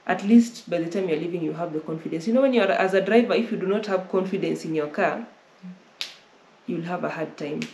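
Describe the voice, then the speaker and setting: A woman's voice: fairly low pitch, brisk, darkish tone. A young Kenyan woman hosting a casual Q&A, giving travel advice and often rephrasing as she explains. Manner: repeats and rephrases while explaining. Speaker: travel vlogger host. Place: indoor living room, on a sofa. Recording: roomy with faint noise.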